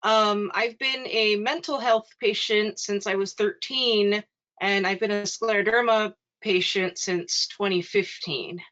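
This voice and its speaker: A woman's voice: mid-range voice, fairly even intonation, a little slow, a bit bright, highs cut off. A woman of thirty from Hawaii, introducing herself in an upbeat, eager way on a panel. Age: 30s.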